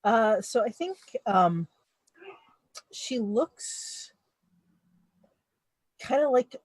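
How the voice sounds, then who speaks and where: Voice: mid-range voice, expressive, very slow, no room sound, low-quality recording. A player in a tabletop game, talking casually with playful asides. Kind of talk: casual actual-play chat.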